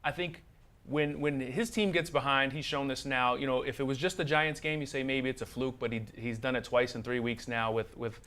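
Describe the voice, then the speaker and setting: A man with a middle-pitched voice weighs in as a football editor on a studio sports panel. He reasons through his points in a measured, analytical way, sometimes restarting a phrase. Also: steady tone, a bit bright. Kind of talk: studio sports talk show.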